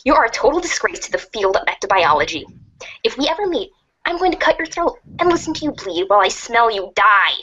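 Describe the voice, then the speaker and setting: A woman voicing a vicious, mocking blind troll girl in a breathy, hissing voice for readers' theatre. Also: on the high side, sing-song, fast, sharp tone, dull audio. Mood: menacing.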